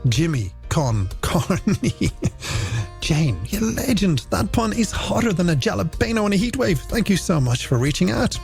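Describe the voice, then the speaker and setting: A man's voice: high voice, expressive, a little fast, creaky, hardly any pauses, darkish tone. A middle-aged man, presenting a late-night music radio show in a playful, theatrical, polished way on a studio microphone. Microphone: close studio broadcast microphone. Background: music bed.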